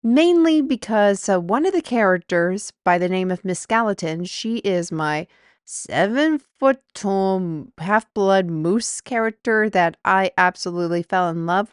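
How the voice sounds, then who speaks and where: Smooth, dry-sounding woman, informal host. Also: medium pitch, dry recording. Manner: rambling, informal. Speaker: creator and host. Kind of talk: informal episode introduction.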